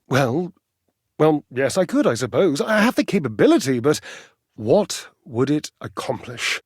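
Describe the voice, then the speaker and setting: A man's voice: high-pitched, dry recording, clean studio sound, heavily acted. An actor playing a vain, catty, theatrical male living clothes dryer in a dating game, flirtatious, haughty and arch. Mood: grudgingly softening. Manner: theatrical, pompous, arch, with mocking chuckles. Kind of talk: dating-game character voice acting.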